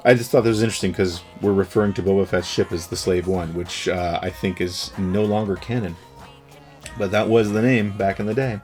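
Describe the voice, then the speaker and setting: A man's voice: sits low, fairly quick, faint music behind. A middle-aged man leafing through an old gaming magazine, chatting loosely about it with plenty of ums and uhs. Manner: relaxed, rambling, with frequent fillers. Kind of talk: casual commentary.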